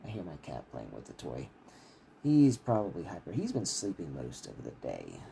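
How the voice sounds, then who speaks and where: A man's voice: extremely low pitch, huge pitch swings, normal speed, gravelly, dark tone. Man reading aloud over background noise. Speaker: author reading own novel aloud. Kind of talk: bedtime story reading and casual livestream chat.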